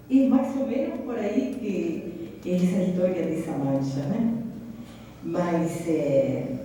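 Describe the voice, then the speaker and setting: An Argentine woman talks in a loose, rambling way, slipping Spanish words into her Portuguese. Her voice is dark and mellow. She is recorded from a distance in a hall with a long, roomy echo. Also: mid-range voice, slight background noise. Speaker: guest artist. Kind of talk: conversational talk to an audience. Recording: echoey hall, mic far off.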